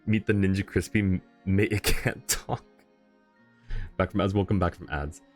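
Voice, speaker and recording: A man's voice: sits low, steady tone, normal speed, soft background music. A man live streaming a game, chatting casually with viewers in an easygoing, rambling way and reading comments aloud. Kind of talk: casual chat with viewers while gaming.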